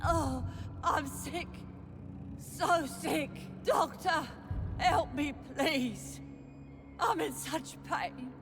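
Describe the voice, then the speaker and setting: A woman's voice: sits high, extremely slow, pauses often, heavily acted. An actress playing a sick, tormented, deranged infected mother in a video game, pained and plaintive in her speech. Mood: pained, pleading. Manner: dragging, unhinged, plaintive. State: sick, in pain. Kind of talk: dramatic video game acting. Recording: reverberant hall with music behind.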